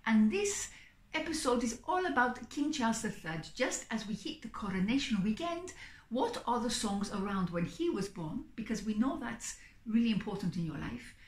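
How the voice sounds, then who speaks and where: Woman, middle-aged, high voice, very animated, theatrical vlog host. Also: normal speed. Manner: expressive, theatrical, gesturing. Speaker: singing psychic performer and host. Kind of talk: theatrical chatty vlog to camera. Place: small indoor room, seated on a sofa. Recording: echoey room.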